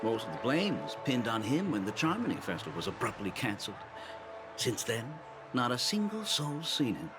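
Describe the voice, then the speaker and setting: A man's voice: medium pitch, huge pitch swings, normal speed. An actor voicing a sardonic, gossipy male security worker with formal airs in a video game. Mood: conspiratorial. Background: game music.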